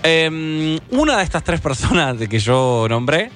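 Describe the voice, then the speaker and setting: A man's voice: fairly high pitch, a little slow, soft background music. A man with an Argentine accent hosting a radio show, chatting casually and rambling with fillers as he introduces a song from the studio. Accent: Argentine Spanish. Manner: relaxed, rambling, with fillers and self-corrections. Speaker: radio host.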